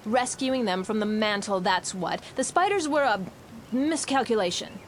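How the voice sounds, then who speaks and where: An actress playing an earnest, resolute rebel leader with formal, measured speech in a fantasy game cutscene. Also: female voice, medium pitch, lively intonation, a little hiss or hum, natural voice. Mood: firm, explaining.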